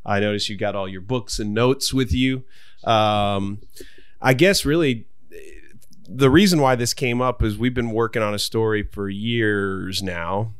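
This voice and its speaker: A man's voice: on the low side, unhurried, smooth, dry recording, clean studio sound. A man hosting a podcast, chatting casually and rambling as he searches for words. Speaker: podcast host.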